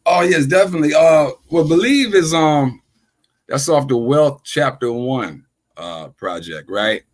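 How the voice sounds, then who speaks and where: A man's voice: a little high, lively intonation, a little slow. A man in his forties, a rapper appearing as a podcast guest, chatting casually and rambling with lots of fillers and "right?" questions. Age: 40s. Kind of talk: casual chat.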